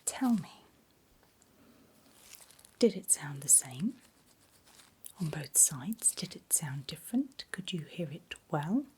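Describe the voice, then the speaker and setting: A woman whispers very slowly and breathily, in a fairly low pitch. She plays a reassuring doctor in an ASMR roleplay, gentle and attentive to a nervous patient. There is faint noise behind her close voice. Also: sing-song. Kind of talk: soft-spoken ASMR medical exam roleplay.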